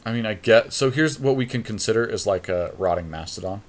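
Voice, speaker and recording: Low-pitched man talking through game plays. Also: brisk. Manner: analytical, talking through options step by step. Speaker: podcast co-host playing a card game. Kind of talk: casual game commentary, thinking aloud.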